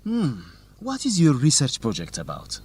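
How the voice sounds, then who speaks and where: A man's voice: a little high, wildly swooping pitch, normal speed, darkish tone, slightly rough, full character voice. A young man playing a bold, curious student journalist in an educational radio drama, with an inquisitive, teasing manner. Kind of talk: educational radio drama dialogue, simple clear English.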